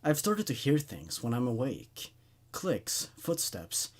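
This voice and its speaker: A man performs a frightened patient in a horror storybook. He is husky and halting, worn by sleeplessness. His anxious delivery rises and falls widely. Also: mid-range voice, huge pitch swings, heavily acted. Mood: fearful.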